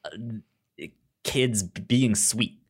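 A podcast co-host in a casual TV review chat, speaking haltingly and often stammering or repeating words. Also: medium pitch, husky.

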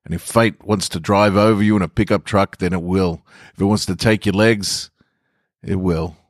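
A man's voice: low-pitched, fairly even intonation, normal speed, no echo, clean studio sound, lightly acted. A man voicing a blunt, folksy, philosophizing bar regular in a short story reading. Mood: emphatic, fatalistic. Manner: folksy, emphatic, swearing barfly monologue.

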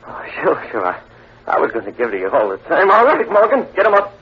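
Shifty slangy gambler, wheedling, very animated delivery, vintage radio crime acting, tinny hissy recording. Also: male voice, on the high side, fast, breathy edge, heavily acted. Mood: nervous, stalling, then smug. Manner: slangy, stammering when cornered. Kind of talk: 1940s-50s radio crime drama acting.

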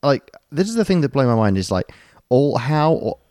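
A man's voice: medium pitch, very animated, moderate pace, darkish tone, dry recording, clean studio sound. A man co-hosting a podcast, chatting casually and rambling through a story with plenty of ums and jokey asides. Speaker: podcast co-host. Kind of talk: casual chat.

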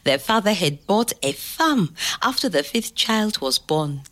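Slow, expressive storytelling grandmother. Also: mid-range voice. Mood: fond storytelling. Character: warm, proud grandmother telling her life story to grandchild. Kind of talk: audio drama storytelling.

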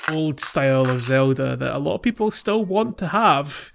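A man's voice: a little high, animated, normal speed, vocal fry, a little hiss or hum. A man chatting casually as a host of a gaming podcast, through a slightly dull microphone.

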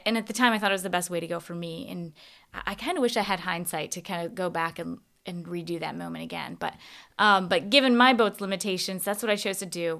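A woman's voice: on the low side, vocal fry, pushed and bright. A woman hosting a podcast, talking in a casual, engaged, conversational storytelling way. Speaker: podcast host, interviewer.

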